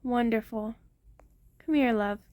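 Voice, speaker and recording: A woman playing an ancient, protective vampire queen in an intimate ASMR roleplay, speaking tenderly and softly to her lover in formal wording. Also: on the high side, slow, no room sound. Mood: reassuring, affectionate.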